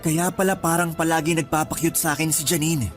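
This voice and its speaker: A man playing a steady, devoted schoolteacher in a radio drama, talking conversationally with English words mixed in. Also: on the high side, a little fast, lightly acted. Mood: grave. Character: steady, devoted male teacher courting his colleague. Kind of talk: Tagalog radio drama acting. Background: faint background music.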